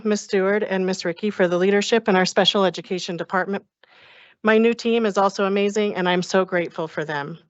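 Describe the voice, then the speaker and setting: A woman's voice: fairly low pitch, dry recording, lo-fi sound. A woman who was just appointed as an administrator reads a sincere, composed thank-you speech. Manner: composed, sincere, reading prepared words. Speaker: newly appointed special education administrator.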